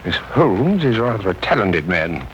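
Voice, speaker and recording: Criminal mastermind, low voice, cold menace, measured commands, 1930s radio drama, muffled old recording. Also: male voice, very animated, fairly bright tone, a little hiss or hum, exaggerated voice. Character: menacing, calculating male criminal mastermind, a professor.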